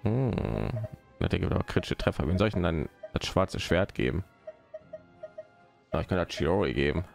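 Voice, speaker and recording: Man, deep, gravelly fry, casual gaming commentary, muttering, rambling. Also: normal speed, long pauses, soft background music. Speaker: live streamer.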